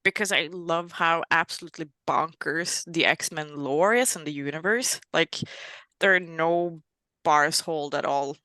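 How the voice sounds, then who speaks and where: Norwegian lady author rambling w lots of ums, bright clear voice, dry sound. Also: low voice, a little slow, dry recording. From Norway. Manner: rambling, full of fillers and self-mocking laughs. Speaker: author guest. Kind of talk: casual interview chat.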